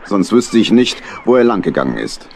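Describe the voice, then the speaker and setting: Deep-voiced old badger captain. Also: male voice, sing-song, normal speed, gravelly, a little mellow, slight background noise. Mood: friendly, sly. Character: gruff kindly old badger captain searching for his friend. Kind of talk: German children's audio drama acting.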